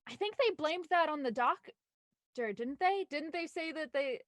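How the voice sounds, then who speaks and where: A woman's voice: sits high, lively intonation, normal speed, no room sound, clean studio sound. A woman actor chatting and bantering casually in a group. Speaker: voice actor in a radio drama cast.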